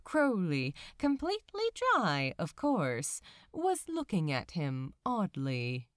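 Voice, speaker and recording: A woman's voice: on the low side, huge pitch swings, slow pace, dry recording, studio quality. A woman narrating a story dramatically, with an emotive, theatrical delivery. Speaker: narrator of fan fiction audiobook.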